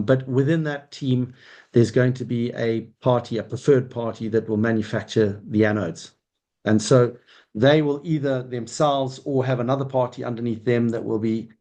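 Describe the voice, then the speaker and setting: A man's voice: mid-range voice, mellow. A middle-aged man with a Zimbabwean accent, answering interview questions as a company chief, explaining things discursively step by step. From Zimbabwe, Southern Africa. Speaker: mining company chief executive being interviewed.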